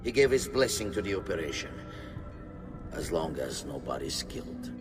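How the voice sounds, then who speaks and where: A man playing a cold, commanding conspiracy master with a measured, imperious manner in a game cutscene. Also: low voice, sing-song, music in the background. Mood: businesslike. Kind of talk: dramatic video game cutscene acting.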